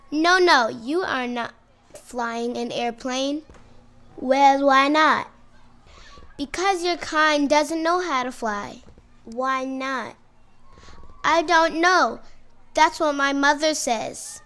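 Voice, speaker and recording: A woman doing squeaky little boys at play. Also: drawn-out words, long pauses, pushed and bright, full character voice. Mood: playful taunting. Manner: high childlike chatter, taunting. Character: two small boys playing, one taunting. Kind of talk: table read.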